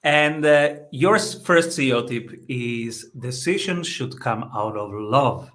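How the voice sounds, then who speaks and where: A man's voice: mid-range voice, slow pace, a bit bright. A man hosting an interview, introducing his guest in an upbeat, enthusiastic way. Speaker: podcast host.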